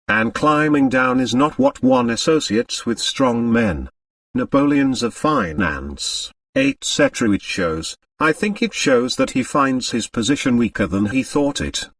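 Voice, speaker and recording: Man playing sardonic, carefree gentleman, lively pitch. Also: no room sound, far from the mic, full character voice. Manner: ironically polite, light and amused. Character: sardonic, carefree gentleman son-in-law, easy and mocking.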